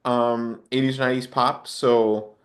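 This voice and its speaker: A man's voice: medium pitch, level tone, a little slow. A man from Honduras chatting casually as a podcast guest, in a reflective, rambling way with hesitant phrasing. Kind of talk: casual interview chat.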